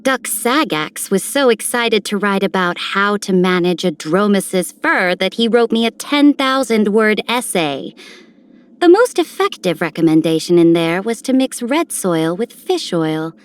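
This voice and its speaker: A woman's voice: medium pitch, expressive, a little slow, lightly acted. A woman voicing a regal, dignified noblewoman commander in a video game, stately and formal. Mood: amused, regal. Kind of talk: video game character voice lines.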